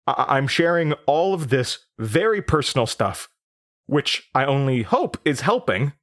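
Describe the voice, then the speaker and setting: An actor playing an anxious, haunted young man in an audio drama, speaking in a halting, nervous, stammering confessional monologue. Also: medium pitch, big pitch swings, a bit bright. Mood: pleading, distraught. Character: anxious, haunted young Midwestern man recalling traumatic memories.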